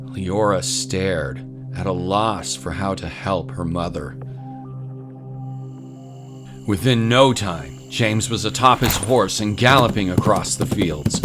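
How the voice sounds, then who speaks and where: A man narrating a scripted fantasy story in the manner of an audiobook. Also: sits low. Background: prominent music.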